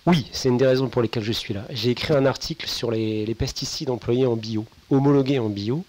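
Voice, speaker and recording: A man's voice: medium pitch, fairly quick, a little mellow, slight background noise. A man from Brittany, a journalist on a panel, speaking in long articulate sentences with dry humor. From Brittany, France.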